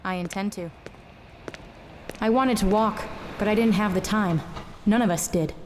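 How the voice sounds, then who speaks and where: A woman playing a hard-boiled, world-weary private eye in a noir audio drama, terse, wry and deadpan. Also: medium pitch, normal speed, a little hiss or hum, close to an everyday voice, poor audio.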